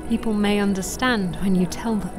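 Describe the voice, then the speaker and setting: An actress playing a wise, serene elven mother in a fantasy audio drama, with gentle, formal, measured phrasing. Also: medium pitch, fairly even intonation, music in the background, close to an everyday voice. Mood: tender, encouraging.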